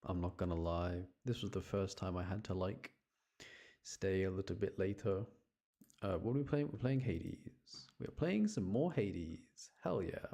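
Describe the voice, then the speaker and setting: Low creaky-voiced man chatting on a stream. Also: measured pace, mellow. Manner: rambling, chatty, addressing viewers. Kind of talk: casual game livestream chat. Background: game music.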